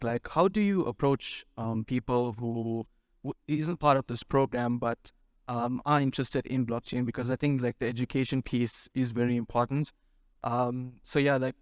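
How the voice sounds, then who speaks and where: A man's voice: medium pitch, no echo. A man in the audience asking a question at a conference, hesitant and full of "um" and "like".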